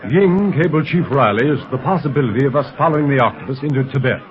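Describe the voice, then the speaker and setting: A level-headed agent leader played by a man speaks calmly and assuredly. His voice sits in a middle male range. The old radio sound is dull and muffled. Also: mid-range voice, quick pace, close to an everyday voice. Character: steady adult male secret agent leader. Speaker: hero's uncle and agent. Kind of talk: 1930s radio acting. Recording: muffled vintage radio recording.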